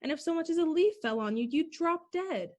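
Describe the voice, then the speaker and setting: A woman playing a bold, dismissive small-town woman of thirty-three in a 1940s-style radio play, brisk and scoffing. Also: sits high, animated, darkish tone. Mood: teasing insistence.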